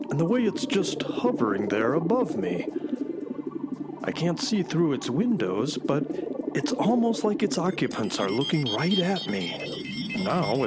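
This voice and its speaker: A man playing an earnest, excitable traveler on an alien planet in a children's radio play, full of wonder. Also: a little high. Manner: wondering, narrates own actions aloud.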